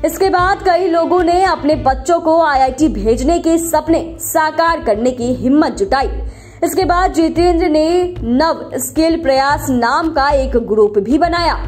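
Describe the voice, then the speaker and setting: High-pitched woman narrating a news feature. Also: darkish tone. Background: background music.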